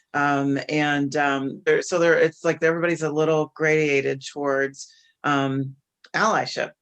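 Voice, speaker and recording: A man speaking reflectively and haltingly in a casual discussion on a video call, often posing rhetorical questions. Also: mid-range voice, fairly bright tone. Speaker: discussion participant who coaches executives.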